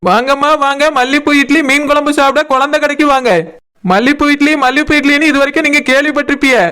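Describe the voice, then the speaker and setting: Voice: sits high, level tone, fast talker, pushed and bright. A performer voicing a cheeky, stubborn cartoon food-stall seller who hawks and bargains emphatically in a Kanyakumari Tamil accent. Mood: hawking. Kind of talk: comic cartoon dialogue.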